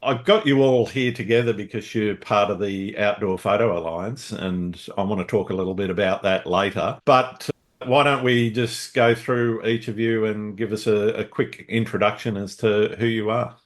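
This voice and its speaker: Deep-voiced man hosting. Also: steady tone, moderate pace. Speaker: podcast host. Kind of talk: interview podcast.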